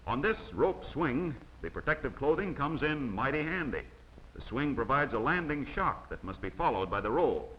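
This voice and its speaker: A man narrates an old instructional film in a measured, scripted delivery. His voice sits at a medium pitch. The soundtrack is dull and lo-fi, like aged 16mm film, with faint noise underneath. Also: big pitch swings.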